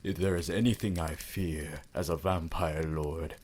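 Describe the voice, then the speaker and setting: A man's voice: a bass voice, steady tone, unhurried, darkish tone, slight background noise. A man voicing an ancient, courtly vampire lord in an ASMR roleplay, formal, theatrical and romantically devoted. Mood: courtly, affectionate.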